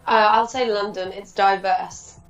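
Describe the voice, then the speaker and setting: A woman speaks in a flat, gravelly tone, relaxed and chatty. She sounds a little distant from the mic, and the recording is dull and echoing, with faint music behind her. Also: medium pitch, measured pace. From Turkey. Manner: relaxed, chatty, says "you know" a lot. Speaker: committee member. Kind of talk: interview answers.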